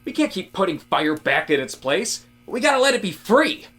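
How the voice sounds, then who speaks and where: A man acting an eager young-at-heart office guy in a comedic audio drama. His voice is bright and he rambles casually, full of earnest conviction about his odd theories. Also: high voice, sing-song, moderate pace, faint music behind. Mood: fervent. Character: Rob, eager, casual male office worker with intense theories.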